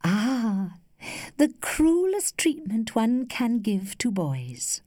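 A woman voicing a worldly, flirtatious lady who teases with cool indulgence in a measured, studied way, as in a storybook. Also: fairly high pitch, big pitch swings, darkish tone, no echo, clean studio sound, voice pushed up, heavily acted. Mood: lightly mocking. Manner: studied, measured, archly playful.

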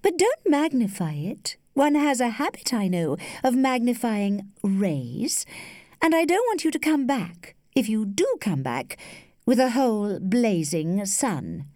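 A woman voicing a worldly, flirtatious lady who teases with cool indulgence in a measured, studied way, as in a storybook. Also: on the high side, big pitch swings, a little mellow, no room sound, clean studio sound, voice pushed up. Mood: teasing, frank. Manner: studied, measured, archly playful.

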